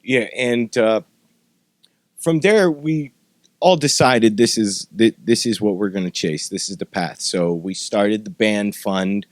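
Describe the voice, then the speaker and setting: A man's voice: fairly low pitch, a little slow, no echo. Casual sweary man chatting on a podcast. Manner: profane, informal. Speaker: podcast host and former metal band member.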